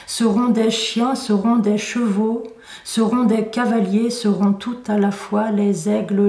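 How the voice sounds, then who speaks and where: A woman recites poetry at a slow, deliberate pace, pausing between short phrases. Her tone is mellow and dark. The room echoes around her voice. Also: medium pitch. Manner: measured, deliberate phrasing with pauses. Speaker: poet reading own work. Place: indoor room at a poetry venue. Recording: roomy echo, recorded from a distance.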